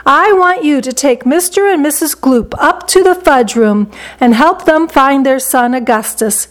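A woman voicing an eccentric gentleman chocolate maker in a children's story, blithe, mischievous and briskly theatrical. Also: high-pitched. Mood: brisk, commanding.

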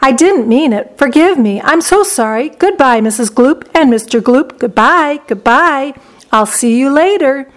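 An eccentric gentleman chocolate maker from a storybook , played by a woman reader, pitched on the high side. He is blithe and mischievous, with a brisk, theatrical way of talking. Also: expressive. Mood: giggly, apologetic.

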